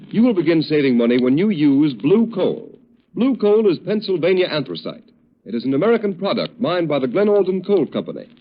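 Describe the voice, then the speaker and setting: A male radio announcer from the 1930s with a fairly high, animated voice. He delivers a polished, persuasive sales pitch. The old broadcast sounds dull.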